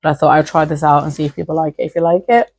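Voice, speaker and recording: A woman's voice: low voice, level tone, rapid-fire, a little mellow, a little hiss or hum. A woman vlogger talking casually and informally to her viewers, hesitant and peppering her sentences with filler words. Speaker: YouTube creator and host. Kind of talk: casual chatty vlog to camera.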